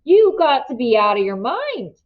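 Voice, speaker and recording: A woman's voice: high-pitched, sing-song, normal speed, narrow, tinny audio, pitched above the performer's own voice. A woman reading a storybook plays a plain-spoken country father, blunt, outraged and desperate.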